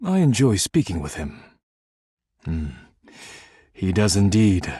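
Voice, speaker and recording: Low, stern, deadpan game character. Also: male voice, slow pace, husky, dry recording, studio quality, full character voice. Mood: formal, measured. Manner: formal, clipped, deadpan. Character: stern, proud, humorless living dishware character, male. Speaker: voice actor in a video game. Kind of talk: scripted game character dialogue.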